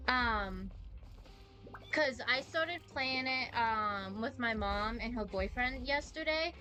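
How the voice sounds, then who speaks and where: Bright, high-voiced young woman streaming. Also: slow. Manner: rambling, excitable, slangy. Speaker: game streamer. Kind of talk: casual live-stream chat while playing. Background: game music.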